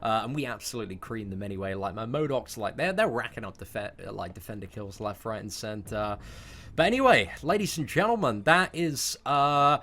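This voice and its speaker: A man's voice: on the low side, lively intonation, moderate pace, sharp tone. A young British man in casual, slangy storytime mode, talking to his viewers as a gaming video host with emphatic, sometimes sweary phrasing. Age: young adult.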